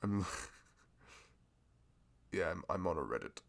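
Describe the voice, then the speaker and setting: A man's voice: expressive, slow, full character voice. A man playing a sweet, bashful young male florist in a chatty, hesitant ASMR roleplay, with a velvety voice. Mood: sheepish, amused. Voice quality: velvety, low, creaky. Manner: chatty, hesitant, rambling, self-deprecating. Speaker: ASMR voice performer. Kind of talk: ASMR roleplay, improvised casual chat.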